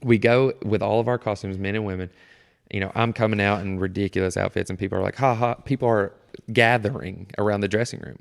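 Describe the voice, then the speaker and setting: A man chatting casually and telling stories in an animated way, acting out how people react. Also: on the low side, quick pace. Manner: animated storyteller, acts out reactions. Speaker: guest, amateur stage performer. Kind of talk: casual storytelling chat. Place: temporary studio in a theatre. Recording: clear background noise and room ambience.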